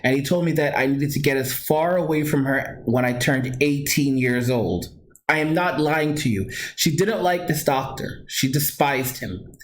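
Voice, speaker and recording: A man's voice: medium pitch, normal speed, poor audio. A man telling a personal story straight to his viewers, earnest and emphatic, repeating points and correcting himself as he goes. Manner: frequent restarts and self-corrections. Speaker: vlogger.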